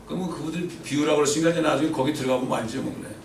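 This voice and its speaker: A middle-aged man preaching a sermon, swinging between loud emphatic peaks and quiet asides and asking the congregation questions. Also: fairly high pitch, fairly even intonation, racing, slight background noise. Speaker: pastor. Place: church sanctuary. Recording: roomy church echo from far off.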